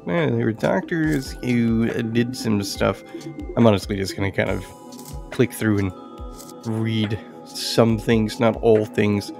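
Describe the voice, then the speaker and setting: Gravelly man, casual gameplay commentary. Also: fairly low pitch, slow, music in the background. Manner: musing, thinking aloud. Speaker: game streamer reviewing indie games.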